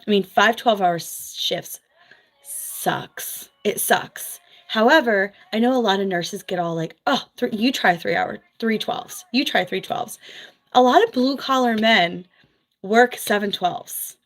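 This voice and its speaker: A woman from New Jersey with a Philadelphia accent, chatting frankly with viewers as a former nurse. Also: medium pitch. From US East Coast, New Jersey. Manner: chatty, frank, quick asides with laughs. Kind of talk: casual livestream chat reading viewer comments.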